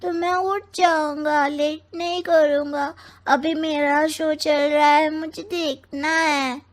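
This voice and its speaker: A high, dry child's voice for an earnest little cartoon boy, performed by a woman. He sounds plaintive and indignant, pressing his point stubbornly. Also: little pitch movement, takes it slowly, no echo. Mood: stubborn, whiny. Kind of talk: cartoon moral-story acting.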